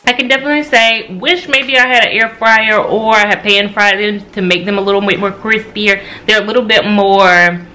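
A woman's voice: mid-range voice, fairly even intonation, normal speed, hardly any pauses, pushed and bright, poor audio. An American woman in her thirties chatting informally and slangily to camera as a food vlogger, recorded at home on a camera mic. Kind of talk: casual chat to camera, food review. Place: home dining area and kitchen, indoors.

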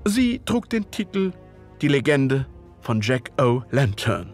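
A man playing a wordy, genteel Victorian gentleman chronicler in an audio drama, formal and politely hesitant as he narrates. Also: mid-range voice, big pitch swings, takes it slowly, faint music behind. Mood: genteel, musing.

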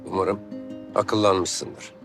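A cold, unforgiving man of authority in a TV drama. His voice sits very low, and he gives orders in curt, menacing phrases, with music underneath. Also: little pitch movement, extremely slow, creaky, heavily acted. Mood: cold, threatening. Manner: curt, commanding. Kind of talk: TV drama acting.

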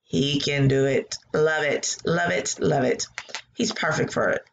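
A woman's voice: low voice, fairly even intonation, measured pace, vocal fry. Woman teacher, encouraging, praising answers, laptop webcam at home, faint hiss. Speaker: teacher reading aloud to a class. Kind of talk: classroom read-aloud lesson. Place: living room at home. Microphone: laptop webcam at close range.

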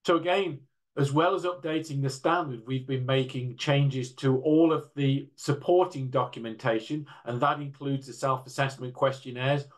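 A man's voice: medium pitch, fairly even intonation, normal speed. Older man presenting in an online panel. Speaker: panel speaker from a standards council. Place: indoors, on a video call.

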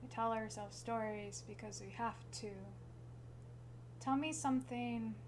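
A woman recites a slam poem of her own at a slow pace. Her pitch stays fairly flat as she sets out short phrases deliberately, with pauses between them. Clear background noise with a hum runs under the voice. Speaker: slam poet.

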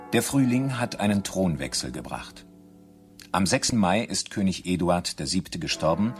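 A man narrating a radio crime play in a scripted, measured and factual manner. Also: sits low.

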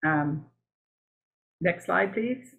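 A woman from Canada with a gravelly, creaky voice walks through her slides, stopping and restarting often between fillers. The sound is thin and tinny, like narrow video-call audio. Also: lowish voice, lively intonation. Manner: halting and conversational, with many fillers and restarts. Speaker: beekeeping presenter.

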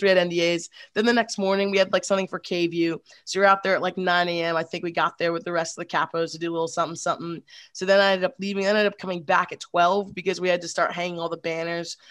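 A young woman chatting on a video call with a headset, telling her story in an energetic, rambling way, full of "like". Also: on the low side, no room sound. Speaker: supporters group organizer. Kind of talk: casual video call chat.

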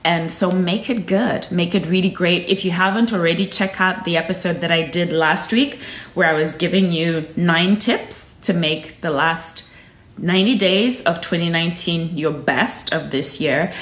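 A woman's voice: fairly low pitch, moderate pace, fairly bright tone. A woman in her thirties from Zimbabwe, a Shona speaker, hosting a live stream from a room at home into a phone held at arm's length, talking earnestly and encouraging her viewers. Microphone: phone camera at arm's length. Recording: echoey room.

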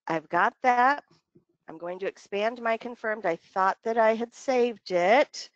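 A woman leading a training webinar, talking through software steps conversationally, thinking aloud and repeating phrases for emphasis. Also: on the high side, measured pace, no room sound. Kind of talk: conversational step-by-step software walkthrough. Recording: dull, lo-fi dry webinar audio.

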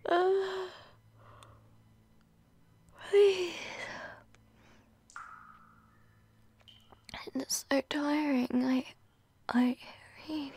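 A woman's voice: sits high, drawn-out words, a little mellow, full character voice. Woman voicing a whispering tearful captive girl. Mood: terrified. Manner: breathy tearful whispering, pleading. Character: frightened young woman student held captive. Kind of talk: audio roleplay drama.

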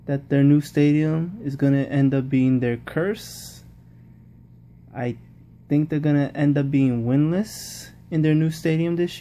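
Rambling man with a dark voice. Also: fairly high pitch. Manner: rambling, repeats "like, seriously". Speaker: football vlogger. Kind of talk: casual post-game commentary.

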